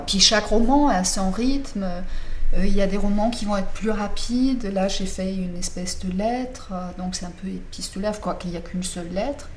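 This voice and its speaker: A woman's voice: medium pitch, slight background noise. Thoughtful woman novelist, non-native French. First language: not French. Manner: thoughtful, searching for words with many restarts. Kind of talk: interview answers.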